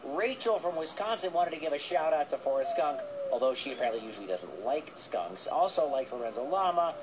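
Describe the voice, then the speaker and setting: A man's voice: a little high, animated, fairly quick. Male kids' radio host, noisy playback. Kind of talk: casual, chatty radio presenting. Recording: noisy recapture of radio playing in room.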